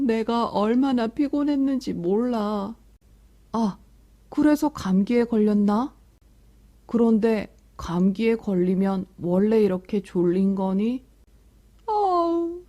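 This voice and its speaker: Casting: a woman playing an achy, complaining storybook body part, very slow and mellow, labored delivery. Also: fairly high pitch, expressive. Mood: sleepy. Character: sick child's weary, achy talking body parts. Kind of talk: children's picture book read-aloud.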